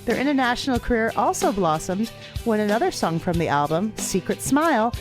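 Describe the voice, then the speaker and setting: A woman's voice: fairly high pitch, big pitch swings, a little fast. A woman podcast host reading a scripted band biography. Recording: music playing behind voice. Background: guitar music.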